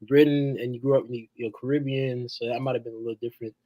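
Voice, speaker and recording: A man's voice: mid-range voice, steady tone, racing, no echo, lo-fi sound. A man who grew up in Australia, chatting casually on a podcast panel, stumbling and repeating words as he thinks. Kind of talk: casual chat.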